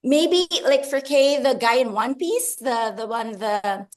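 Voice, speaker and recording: A woman's voice: on the high side, animated, a little slow. A woman from the Philippines, an author answering interview questions in a chatty, laughing, self-deprecating way over a video call. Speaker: author guest.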